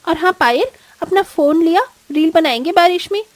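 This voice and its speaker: Woman playing a high, snobbish young rich wife. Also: normal speed, no room sound. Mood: excited. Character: young rich daughter-in-law, snobbish, pampered, fun-loving. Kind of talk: Hindi moral-story cartoon voice acting.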